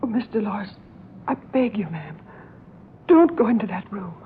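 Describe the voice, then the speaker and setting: An actress playing an ailing, frightened housemaid, pleading in a hushed, deferential way in old-time radio horror. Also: female voice, mid-range voice, big pitch swings, normal speed, natural voice. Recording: tinny band-limited old radio, hissy.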